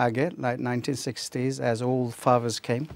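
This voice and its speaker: Man, 50s, from Bangladesh, hesitant guest, quick, dry close sound. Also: medium pitch, little pitch movement, dry recording. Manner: hesitant, many fillers, switches between English and Bengali. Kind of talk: casual interview chat.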